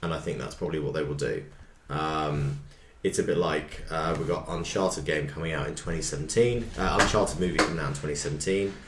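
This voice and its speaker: Deep-voiced man, casual podcast talk, echoey room. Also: fairly quick, few pauses. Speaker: podcast co-host. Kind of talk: casual discussion chat. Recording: echoey room with background noise.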